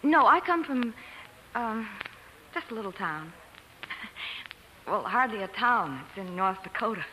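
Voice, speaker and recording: An actress playing a spunky, plain-spoken young nurse trainee in a 1940s radio drama, forthright and folksy. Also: female voice, medium pitch, sing-song, a little slow, long pauses, sharp tone. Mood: polite, awkward. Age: young adult. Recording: noisy vintage 1940s radio broadcast.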